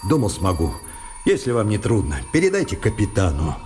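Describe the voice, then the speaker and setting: Mellow-voiced, anguished confessing intellectual. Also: male voice, on the low side, wildly swooping pitch, fairly quick, soft background music. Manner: earnest, insistent, repeating himself. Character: anguished, confessing educated civilian man, ashamed and earnest. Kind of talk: Russian radio drama acting.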